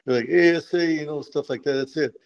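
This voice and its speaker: A retired army medic from Texas, telling stories in a relaxed interview with many restarts and a habit of saying "man". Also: a little high, fairly quick, gravelly, highs cut off. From Texas, USA. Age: older. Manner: frequent restarts and repeated words, says "man" often. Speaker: guest, retired Special Forces medic. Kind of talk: conversational interview storytelling.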